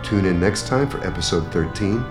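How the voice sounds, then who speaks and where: Low male announcer over guitar music. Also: lively intonation, normal speed. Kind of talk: scripted radio credits announcement. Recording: roomy, echoing announcer track. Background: guitar theme music.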